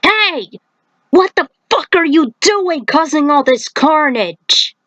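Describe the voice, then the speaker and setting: A woman's voice: sits high, expressive, slow, fairly bright tone, no room sound, voice pushed up, heavily acted. A woman voicing a brash, defiant male action star in a story, indignant and exclaiming. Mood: outraged. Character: brash, defiant male action-film actor challenging monsters.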